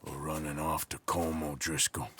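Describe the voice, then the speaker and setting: An actor playing a sardonic cowboy outlaw with a rural American accent and deadpan wit in a video game cutscene. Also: male voice, rumbling low, normal speed, slight background noise. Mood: teasing. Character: wry, laconic cowboy outlaw with dry wit.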